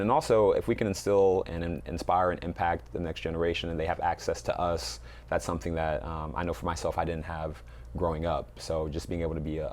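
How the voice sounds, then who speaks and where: Very deep, even-toned male interviewee. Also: slight background noise. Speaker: basketball coach, interview guest. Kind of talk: reflective interview answers.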